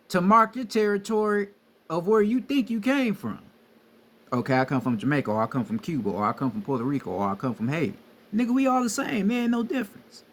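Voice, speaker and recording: A man's voice: high voice, fairly bright tone. A young man giving a casual, profane lecture on spiritual ideas, talking emphatically and repeating himself. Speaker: spiritual teacher. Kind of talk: casual street-style lecture.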